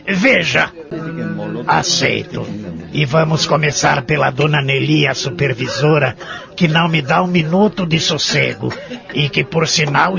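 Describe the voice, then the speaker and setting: A male actor voices a skeptical old man in a care home, with a Brazilian accent. His voice is very gravelly and sits slightly high, questioning and stubborn. The radio drama recording is muffled and lo-fi. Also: fairly bright tone, full character voice. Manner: questioning, doubtful. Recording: dull lo-fi audio drama recording.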